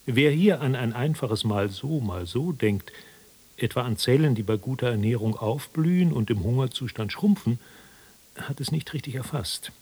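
A man narrating a literary radio drama in a measured, formal, dryly ironic manner, with a slightly husky voice. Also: mid-range voice, animated, a little mellow. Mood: detached, wry. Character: detached, wry literary narrator of an absurd tale.

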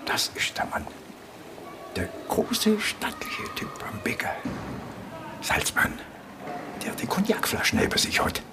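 Ein Schauspieler spielt im Hörspiel einen nervösen Beobachter, der heimlich und hauchig flüstert. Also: male voice, high voice, big pitch swings, a little slow, long pauses. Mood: furtive. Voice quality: breathy, creaky whispering. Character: nervous male lookout whispering during surveillance. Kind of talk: radio play dialogue.

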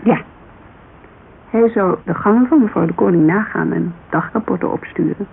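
Chatty witness, creaky voice, informal rambling storytelling, loyal, radio drama, thin hissy recording. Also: female voice, on the low side, normal speed, narrow, tinny audio. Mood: earnest, loyal. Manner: informal, digressive, animated storytelling. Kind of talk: naturalistic radio drama dialogue.

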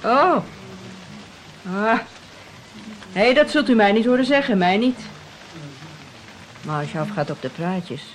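Nosy pious village innkeeper, high voice, folksy and insinuating, old radio play w some noise. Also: male voice, lively intonation, a little slow, pauses often, a bit bright, poor audio. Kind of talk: radio drama acting.

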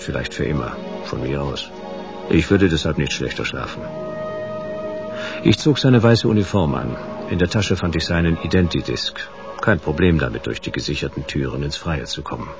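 An actor playing a hard-boiled, wry private detective in a radio crime drama, laconic and dry. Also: male voice, very deep voice, gravelly, heavily acted. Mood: laconic brooding. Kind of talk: 1980s German radio crime drama acting with first-person noir narration.

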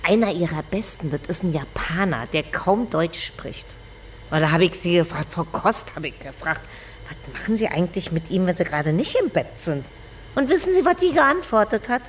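A woman's voice: fairly low pitch, expressive, moderate pace, a little hiss or hum, lightly acted. An actress playing a gossipy, earthy Berlin landlady in a radio drama, chatty and coy, then blunt. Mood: gossipy, amused.

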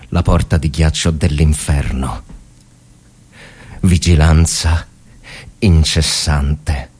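Man acting a slow, low-voiced, haunted officer. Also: darkish tone, exaggerated voice. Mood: exhausted, brooding. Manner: halting, repetitive, brooding, swinging between murmurs and outbursts. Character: worn-out, haunted male army officer in mountain trenches. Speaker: actor reading a war story. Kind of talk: dramatic theatrical monologue from literary fiction.

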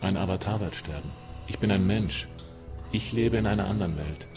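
A man's voice: low voice, fairly quick, over music. A man acting in a radio drama as a fatalistic, sick man who answers tersely and plainly. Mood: resigned. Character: fatalistic, resigned man living with a deadly virus.

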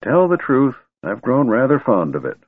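A high, quavering old wizard-god, cranky and scolding, whose tone swings widely as he lectures, as a male reader puts him on. The voice sounds husky and comes over a thin, phone-like line with no room around it. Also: huge pitch swings, moderate pace, no echo. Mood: wistful. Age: older. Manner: cranky yet wistful, scolding. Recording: tinny phone-like dry recording.

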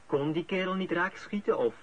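An actor with a Flemish accent playing a dry, wry police detective in a radio drama, his voice husky. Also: high voice, normal speed. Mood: businesslike. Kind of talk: naturalistic radio drama acting.

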